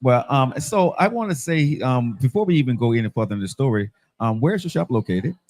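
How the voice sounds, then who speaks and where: Woman talk host, casual and reactive, with animated, swinging pitch. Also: fairly quick. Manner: reactive, chatty, echoes the guest's words. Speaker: host, interviewer. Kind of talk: casual chat interview.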